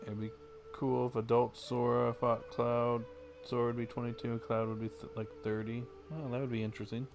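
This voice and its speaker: A man's voice: medium pitch, level tone, a little fast. A man giving casual livestream game commentary, musing in a laid-back, rambling way. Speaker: livestream gamer. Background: game music.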